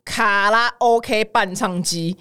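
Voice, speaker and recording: A woman's voice: mid-range voice, takes it slowly, bright, forceful tone, clean tone. A middle-aged woman with a Taiwanese Mandarin accent hosts casual news commentary with personal anecdotes, chatty, colloquial and exclamatory. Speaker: podcast host.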